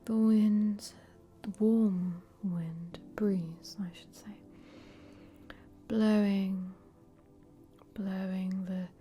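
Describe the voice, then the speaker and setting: A woman voicing an easygoing, cheeky meditation guide in a breathy ASMR whisper, with gentle, rambling instructions. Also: medium pitch, steady tone, drawn-out words, long pauses. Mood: soothing, gently cheeky. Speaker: ASMR host leading a relaxation session.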